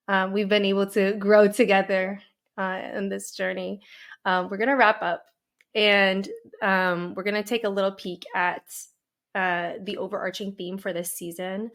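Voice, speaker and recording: A woman hosting a podcast interview, speaking earnestly and emphatically, slowing down to stress key words and often checking in with "right?". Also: mid-range voice, a bit bright. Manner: frequent "right?" and "um". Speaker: podcast host. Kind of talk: heartfelt interview conversation.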